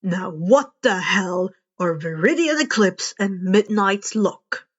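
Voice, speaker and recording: A woman voicing a gruff, stern male hero mentor in a read-aloud story, in a muffled recording. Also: medium pitch, expressive, takes it slowly, voice pushed down. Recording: dull, muffled lo-fi home recording.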